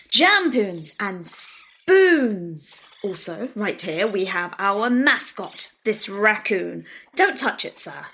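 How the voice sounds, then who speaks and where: Animated, showy shop greeter. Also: female voice, mid-range voice, exaggerated voice. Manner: theatrical sales patter. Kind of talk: comedic audio drama acting.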